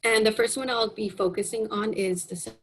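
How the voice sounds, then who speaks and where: A woman student program advisor presenting online in a soft, measured way. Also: mid-range voice, steady tone, breathy edge.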